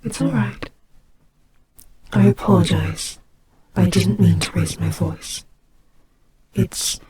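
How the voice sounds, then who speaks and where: A woman voicing a gentle, soothing goddess of death in an audio roleplay, speaking softly and breathily with hesitant, reassuring care for a child. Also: fairly low pitch. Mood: apologetic, protective. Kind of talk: slow dramatic audio roleplay. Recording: clearly noisy amateur home recording. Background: ambience sound effects.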